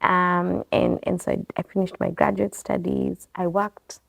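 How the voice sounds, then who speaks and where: A woman speaks in a slightly low voice with a gravelly fry running through it. She talks casually and reflectively in an interview, wandering between thoughts and correcting herself as she goes. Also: level tone, a little slow. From Kenya. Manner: reflective, rambling, self-correcting. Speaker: guest, bookstore owner. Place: her bookstore and coffee bar.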